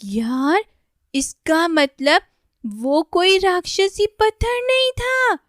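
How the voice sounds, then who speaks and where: A woman voicing an excitable, timid young tree boy who pleads and exclaims in a children's cartoon. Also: very high-pitched, extremely slow, no room sound, studio quality, voice pushed up, exaggerated voice. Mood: curious, surprised.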